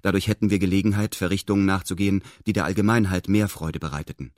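A man's voice: low-pitched, steady tone, quick pace, a little mellow, no room sound, clean studio sound, natural voice. A male actor playing a detached first-person radio drama narrator with precise, stilted, bureaucratic phrasing. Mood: detached, formal.

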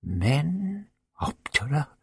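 A woman's voice: low-pitched, very animated, very slow, background noise, voice pushed up, heavily acted. A man voicing a frightened, polite human woman in a story, hesitant and stammering. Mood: puzzled. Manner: hesitant, stammering, breathless pleading. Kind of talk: audiobook character voice.

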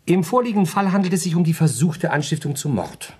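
An actor playing an urbane, pedantic lawyer in a radio play, reasoning coolly and politely in a precise, lecturing manner. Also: male voice, fairly high pitch, expressive, fast talker, darkish tone, breathy edge. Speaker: lawyer giving legal advice. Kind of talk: German radio play dialogue.

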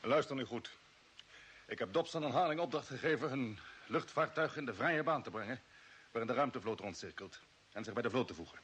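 An actor playing a decisive spaceship captain in a radio drama, giving orders with brisk authority. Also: male voice, mid-range voice, a bit bright, slight background noise, lightly acted. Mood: commanding.

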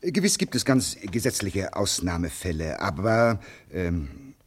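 An actor playing an authoritative, formal newspaper editor-in-chief in a German radio play, speaking in a deliberate way with pauses to find his words. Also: low voice, very animated, slightly rough, exaggerated voice. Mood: dictating, declamatory. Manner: deliberate, hesitant while composing, then declamatory.

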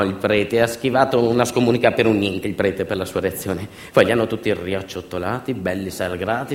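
A man performing a theatrical narrative monologue as a stage storyteller, in a colloquial, emphatic manner that leans on repeated phrases. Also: deep voice. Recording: roomy echo, faint music. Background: faint music.

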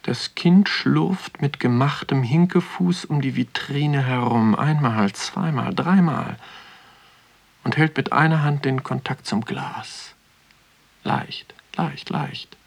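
A man's voice: mid-range voice, slow pace, creaky. An actor playing a pensive young man in a radio drama, delivering a musing, observant interior monologue. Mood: observing. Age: young adult.

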